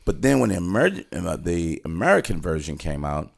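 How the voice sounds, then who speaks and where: A man's voice: low-pitched, sing-song, moderate pace, vocal fry. A middle-aged man co-hosting a casual film review, talking in a rambling, opinionated way. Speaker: podcast host giving a film review. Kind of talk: casual conversational movie review.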